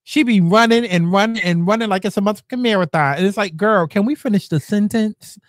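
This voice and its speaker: A young woman, reacting to clips in loud, brash, joking casual commentary, swearing often. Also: medium pitch, fast talker, dry recording, studio quality.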